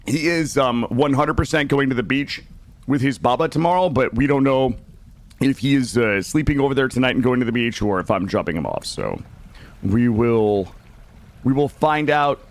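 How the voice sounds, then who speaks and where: Guy streaming a game, medium pitch, bit gravelly morning voice, chatty and playful, talks to chat. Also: lively intonation, moderate pace. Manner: informal, chatty, mild swearing, playful asides. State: voice not warmed up. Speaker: game livestreamer. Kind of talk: casual gaming livestream commentary and chat.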